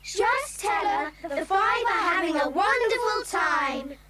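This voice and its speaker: Lady doing bossy excited young girl in kids drama, super high swoopy voice, noisy recording. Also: slow pace, loud music behind the voice, heavily acted. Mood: keen. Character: headstrong, enthusiastic young girl.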